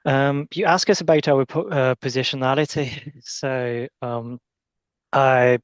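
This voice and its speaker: A man from Belgium, an international lawyer, speaking on a panel over a video call in a halting way with many restarts. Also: mid-range voice, fairly even intonation, smooth, no room sound. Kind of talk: webinar panel introduction.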